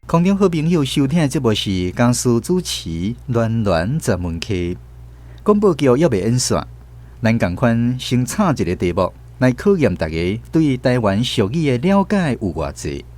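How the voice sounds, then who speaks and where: A male radio presenter with a Taiwanese accent talks directly to his audience. His delivery is scripted and expressive, with lively rises and falls around a medium pitch. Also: moderate pace. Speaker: radio host.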